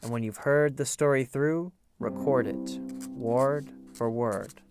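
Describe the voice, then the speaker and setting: A man tells a historical story in a theatrical, measured way, as if reciting an epic. His voice sits at a middling pitch. Instrumental music plays underneath the narration. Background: musical instruments playing.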